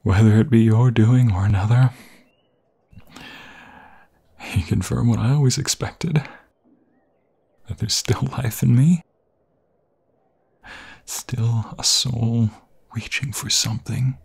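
A man as a slow, breathy, lovestruck vampire fiancé. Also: medium pitch, steady tone, darkish tone, full character voice. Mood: pleading. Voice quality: breathy, husky, often dropping into whispers. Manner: slow, halting, emotional delivery. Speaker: ASMR roleplay performer. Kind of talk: intimate ASMR romantic roleplay.

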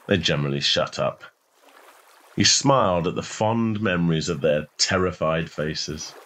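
A man with a fairly low voice reads a piece of short fiction as its narrator. His delivery is scripted storytelling, measured and expressive in a literary way.

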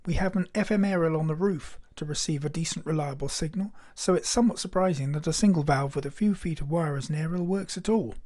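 Guy reading out a narration for a how-to demo, voice a bit on the high side. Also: mellow. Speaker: narrator and electronics hobbyist. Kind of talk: scripted narration of a radio-building demonstration.

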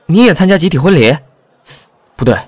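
A man's voice: fairly high pitch, lively intonation, a little fast, dry recording. An actor playing an astonished, nosy young man who questions bluntly in an audiobook drama. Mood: astonished, teasing. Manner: incredulous, questioning.

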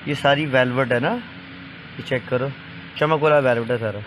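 A young man from Pakistan speaks at an ordinary, mid-height pitch, with a repetitive salesman's patter. He is recorded close on a phone inside a small shop packed with clothing bundles, with a faint shop hum underneath. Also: normal speed, poor audio. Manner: repetitive salesman patter, urging viewers to look and call. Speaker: vlogger selling used clothing bundles. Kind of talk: casual sales vlog.

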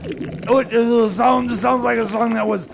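A very high, creaky voice of a flustered radio host in comedy radio theater. He halts and stammers in anguish, over clearly noisy background sound. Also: fairly even intonation, exaggerated voice. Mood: weary, pained. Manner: halting, stammering, whiny. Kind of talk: improvised comedy radio theater, back-announcing songs.

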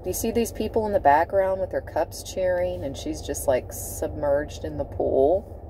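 A woman reads tarot cards from her car, her voice low and mellow. She talks in an unhurried, deliberate way, leaning on her words and repeating them for weight. A faint hum of the car cabin sits behind her. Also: rough recording. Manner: slow, dramatic, emphatic delivery with repeated phrases. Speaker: tarot reader. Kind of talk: tarot card reading to camera. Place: inside a parked car.

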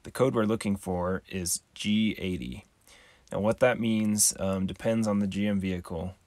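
A man, the host, giving a calm, methodical voice-over tutorial on a close mic. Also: lowish voice, no echo. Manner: calm, methodical, measured explaining. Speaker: channel host.

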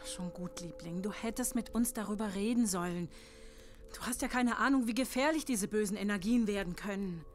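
A woman's voice: mid-range voice, fairly quick, faint music behind, lightly acted. A woman playing an anxious, protective middle-aged mother in a horror audio drama, earnest and commanding. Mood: hushed, grave.

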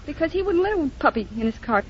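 A woman's voice: high-pitched, lively intonation, quick pace. A woman from Boston chatting as a contestant on an old radio quiz. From Boston, United States. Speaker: quiz show contestant, housewife. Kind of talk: unscripted chat on a radio quiz. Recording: muffled old radio recording, noisy audience.

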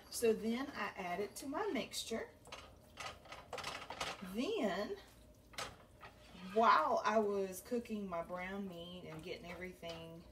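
Slow-talking homey woman cooking. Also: medium pitch. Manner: chatty and homey, talks while stirring pots. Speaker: cooking show host. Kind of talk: home cooking demonstration. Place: home kitchen. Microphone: camera a few feet away. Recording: slightly distant camera mic, faint room noise.

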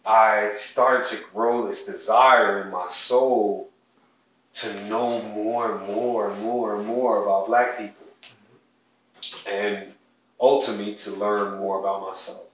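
A young man with a lowish voice tells heartfelt personal stories in a relaxed home chat. He sounds set back from the mic, and the room around him rings with echo. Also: slow, recorded from a distance. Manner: earnest, heartfelt, speaks of his soul and ancestors. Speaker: podcast co-host. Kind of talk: casual conversational storytelling. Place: home living room, indoors. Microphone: distant mic on a stand, wide camera. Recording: echoey room audio picked up from afar.

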